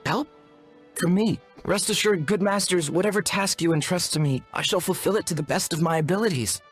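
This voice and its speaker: A man's voice: high voice, fairly quick, slightly rough. An actor playing an earnest, courteous and wordy young shaman apprentice in a video game, speaking in a formal, polite manner. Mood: earnest, deferential. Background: music.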